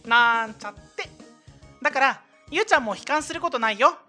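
Boyish, easygoing cutie, played by a woman, lightly high and animated, blunt with a sly sting, for comedy drama. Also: fairly quick, soft background music. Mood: breezily venomous. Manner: innocent, boyish, casually blunt. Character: cute, easygoing boy with a sly, casually venomous streak. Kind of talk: comedic anime-style voice drama.

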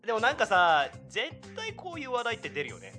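A man hosting a radio show with casual, joking banter, reading listener mail aloud. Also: brisk. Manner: animated, joking. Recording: low-quality livestream audio over background music. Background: background music.

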